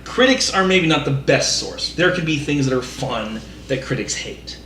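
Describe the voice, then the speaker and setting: A man with a mid-pitched voice rants casually on a podcast about movies. He is exasperated and emphatic and swears freely. The room echoes around him. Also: lively intonation, normal speed, pushed and bright. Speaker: podcast co-host. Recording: roomy echo, slight background noise.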